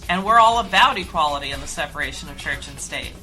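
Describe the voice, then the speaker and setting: A woman's voice: deep voice, animated, fast, rough recording. A woman hosting a podcast, reading scripted sponsor messages. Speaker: podcast host. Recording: loud music under the voice. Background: loud music.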